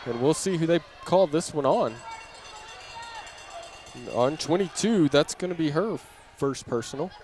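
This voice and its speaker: A man's voice: fairly high pitch, expressive, unhurried, pauses often, darkish tone. A man doing live play-by-play basketball commentary in clipped, running phrases from a gym. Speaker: play-by-play announcer. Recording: noisy gym ambience, bouncing ball. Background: clear game noise and ball bounces.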